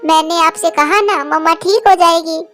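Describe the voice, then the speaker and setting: A woman's voice: extremely high pitch, normal speed, fairly bright tone. A woman voicing an innocent, trusting little girl bird in a cartoon story, talking in a simple, earnest, childlike way. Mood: innocent, comforting. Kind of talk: cartoon moral-story dialogue.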